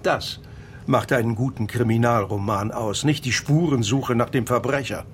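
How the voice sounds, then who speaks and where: A man's voice: medium pitch, moderate pace, slight background noise, lightly acted. Actor as a pompous, self-assured male crime novelist. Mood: lecturing, disdainful. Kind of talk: German radio crime play acting.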